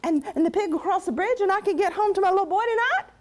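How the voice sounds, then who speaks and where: A woman's voice: very high voice, fast talker. A woman voicing a worried little old woman who pleads with animals. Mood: pleading.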